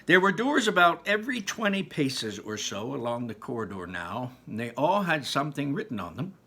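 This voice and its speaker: A man's voice: medium pitch, sing-song, normal speed, pushed and bright, husky. A man reading a children's book aloud, telling the story expressively with small slips now and then. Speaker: reader.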